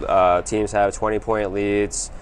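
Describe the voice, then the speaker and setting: A man giving conversational game analysis as a sports co-host, hesitant and measured, leaning often on fillers. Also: lowish voice, level tone, a little hiss or hum.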